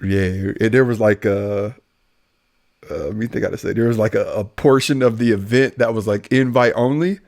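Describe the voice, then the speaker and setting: A man's voice: on the low side, normal speed, darkish tone. A man co-hosting a podcast, chatting casually in slangy, joking language. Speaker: podcast co-host. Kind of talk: casual chat.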